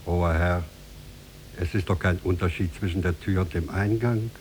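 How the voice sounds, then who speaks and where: Actor as a very deep-voiced, wily intruder. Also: male voice, steady tone, moderate pace, darkish tone, close to an everyday voice. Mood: wheedling. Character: wily male stranger, obsequious and insistent intruder. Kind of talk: 1950s German radio drama acting. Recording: noisy humming 1950s radio recording.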